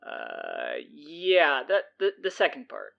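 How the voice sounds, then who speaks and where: A woman's voice: low-pitched, wildly swooping pitch, takes it slowly, creaky, dull audio, full character voice. An actress voicing a teenage boy who gets flustered and defensive and stammers. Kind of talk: audio drama acting.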